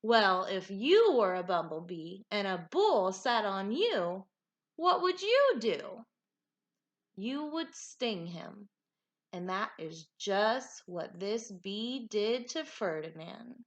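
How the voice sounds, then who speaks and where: A woman reading a children's storybook aloud like a teacher, with dramatic, deliberate phrasing and emphatic words. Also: medium pitch, sing-song.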